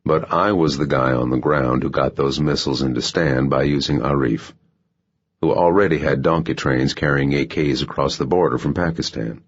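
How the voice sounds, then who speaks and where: A man's voice: a bass voice, normal speed, slightly rough, heavily acted. A man voicing a blunt, world-weary intelligence official in an audiobook, frank and wry. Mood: matter-of-fact. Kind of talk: audiobook character dialogue. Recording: muffled, dull audio.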